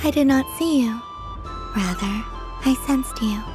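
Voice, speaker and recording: A serene moon goddess with a high, soft voice. She talks slowly and dreamily, taking each question quite literally, with music playing behind her. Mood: dreamy. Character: serene, childlike moon goddess, adult woman. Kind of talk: video game voice acting. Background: game soundtrack music.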